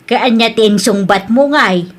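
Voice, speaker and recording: A woman's voice: on the high side, measured pace, close to an everyday voice. A woman with a northern Philippine accent playing an older, coaxing, scolding wife who gambles hopefully in an audio drama.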